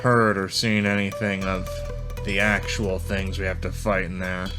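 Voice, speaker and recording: A man playing a tabletop game, giving measured roleplay and description of his character. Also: sits low, little pitch movement, over music.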